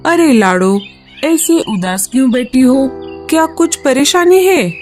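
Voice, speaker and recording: A woman's voice: high voice, lively intonation, takes it slowly, soft background music, pitched above the performer's own voice. A woman voicing Kalu, a friendly and helpful male crow in a cartoon, eager and reassuring. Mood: kindly.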